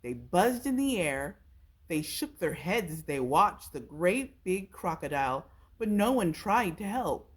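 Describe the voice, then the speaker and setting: A woman's voice: on the low side, very animated, a little slow, breathy edge. A woman reading a children's storybook aloud as a storytime narrator. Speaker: storytime reader.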